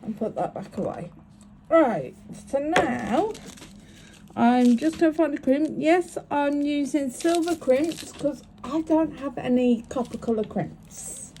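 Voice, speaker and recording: A woman's voice: sits high, animated, slow, dark tone, slight background noise. A woman, sniffly with a cold, talking through each step of making a bracelet in a chatty, apologetic way. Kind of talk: crafting tutorial.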